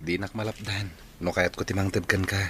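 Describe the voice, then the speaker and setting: A man's voice: low-pitched, little pitch movement, brisk, slight background noise, close to an everyday voice. A man playing an earnest, patient young suitor in an Ilocano radio drama. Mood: pleading.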